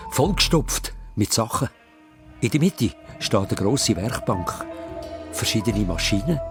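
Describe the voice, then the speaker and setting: A man with a Swiss accent narrating a children's radio play as a dramatic storyteller. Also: medium pitch. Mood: suspenseful. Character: lively storyteller of a children's adventure.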